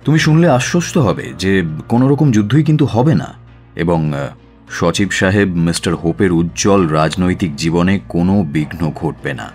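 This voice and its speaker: A shrewd detective with a very low voice, composed and in command. He speaks deliberately, lingering over hesitations, in radio drama style with music clearly playing underneath. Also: expressive, darkish tone. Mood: confident, satisfied. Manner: measured, deliberate, with drawn-out hesitations.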